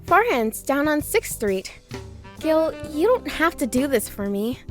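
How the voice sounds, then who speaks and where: Woman acting a high-voiced, wry female agent. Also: measured pace. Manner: wry, expressive. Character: sardonic, warm-hearted young female agent. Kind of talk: comic dub voice acting. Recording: music behind the voice.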